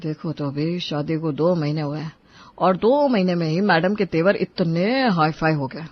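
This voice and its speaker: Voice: medium pitch, normal speed, no echo, natural voice. A performer voicing a sulky, hot-tempered young married man quarreling with his mother and wife, in a dry, dull lo-fi recording. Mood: grumbling. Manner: sulky, irritable.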